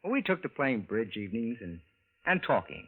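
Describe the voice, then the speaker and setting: An actor playing a folksy, puzzled American landlord in 1940s radio style, plain-spoken and hesitant. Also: male voice, mid-range voice, very animated, a little fast. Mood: folksy narrating. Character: puzzled, folksy husband and landlord narrating his story. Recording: muffled vintage radio recording with music.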